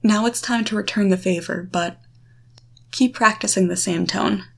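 A woman guiding the listener through a visualization, coaching in short, deliberate phrases with a reassuring, instructive manner. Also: medium pitch, vocal fry. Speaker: guide leading a guided erotic confidence exercise. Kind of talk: guided visualization, second-person coaching.

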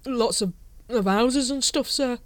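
Slow literal sergeant guy, hesitant, a bit high, woman putting him on. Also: no room sound, natural voice. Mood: puzzled. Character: plodding male watch sergeant, deferential.

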